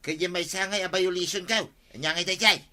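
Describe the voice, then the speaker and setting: A man's voice: high-pitched, fairly even intonation, normal speed, pushed and bright. A man playing a complaining tricycle driver in an Ilocano comedy radio drama, grumbling and protesting. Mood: exasperated.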